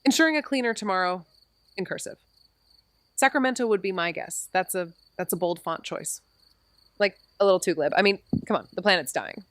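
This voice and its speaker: A woman's voice: mid-range voice, animated, fairly quick, a bit bright, dry recording, natural voice. A woman playing a snarky, profane young sidekick. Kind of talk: noir audio drama dialogue.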